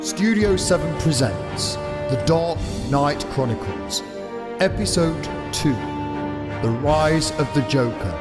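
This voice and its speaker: A man's voice: a little high, expressive, slow pace. A man playing a grave announcer, making scripted dramatic introductions for an audio serial. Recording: reverberant, very loud music behind.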